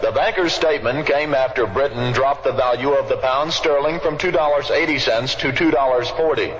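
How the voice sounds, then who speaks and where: A man's voice: mid-range voice, brisk, fairly bright tone. A man giving a scripted news report as a 1960s radio reporter. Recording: muffled, reverberant 1960s radio recording.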